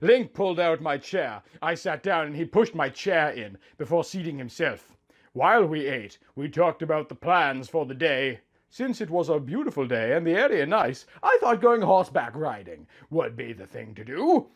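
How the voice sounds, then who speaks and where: Romance story narrator: lovestruck young bride, performed by a man, overwrought and swooning, highly animated pitch. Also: normal speed. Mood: dreamy, contented. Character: young woman narrating her honeymoon, breathy, lovestruck. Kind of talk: melodramatic romantic fan fiction reading.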